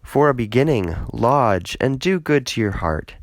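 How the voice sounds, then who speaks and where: A man's voice: medium pitch, lively intonation, a little slow, no room sound, clean studio sound, pitched above the performer's own voice. A man reading a Bible story, voicing a hospitable father-in-law who emphatically coaxes his guest to stay. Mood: coaxing, insistent.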